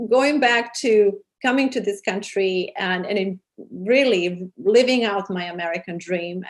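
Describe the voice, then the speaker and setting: Female interview guest, Serbian accent, medium pitch, sincere, searches for phrasing as she explains. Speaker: interview guest, program producer and charity founder. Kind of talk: interview answers.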